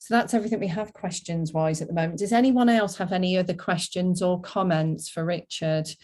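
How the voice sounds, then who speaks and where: Lowish-voiced woman hosting a webinar. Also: steady tone, fairly quick, darkish tone. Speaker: webinar host. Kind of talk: moderating, reading out chat comments and questions.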